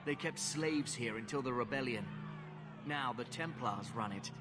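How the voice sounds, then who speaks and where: An actor playing a gruff, contemptuous city gate guard in a video game, blunt and dismissive. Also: male voice, medium pitch, moderate pace, music in the background, lightly acted. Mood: matter-of-fact, cynical. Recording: clear outdoor ambient noise.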